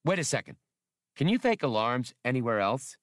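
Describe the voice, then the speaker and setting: A man's voice: mid-range voice, sing-song, moderate pace, dry recording, clean studio sound, voice pushed up, natural voice. A man voicing a cocky, hard-nosed loner space captain in an audiobook, with a wry, blunt delivery. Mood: scheming, keen.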